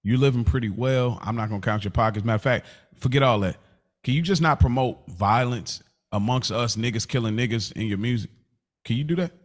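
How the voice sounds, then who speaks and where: A man's voice: mid-range voice, fairly quick. A man co-hosting a podcast in a casual debate, speaking earnestly and argumentatively in slangy phrases, often repeating himself as he presses his point. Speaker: podcast co-host.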